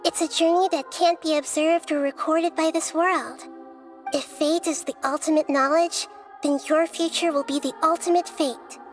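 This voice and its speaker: Young goddess of wisdom for an anime game, played by a woman: high, kind, thoughtful, articulate, over music. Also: steady tone, natural voice. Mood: reflective, sincere. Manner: measured, reflective, articulate. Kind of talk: anime-style video game voice acting.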